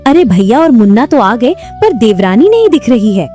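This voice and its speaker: Female actor as gentle wife, cartoon family drama, lively pitch, warm timbre, soft music. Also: moderate pace. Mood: pleasantly surprised. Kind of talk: Hindi moral-story cartoon dialogue.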